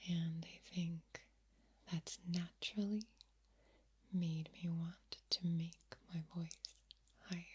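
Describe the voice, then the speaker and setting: A woman speaking softly in a breathy ASMR whisper, close to the mic from ear to ear, lingering on and repeating words. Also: on the low side, fairly even intonation, slow pace, pauses often. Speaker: ASMR video creator. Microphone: close microphone, speaking ear to ear.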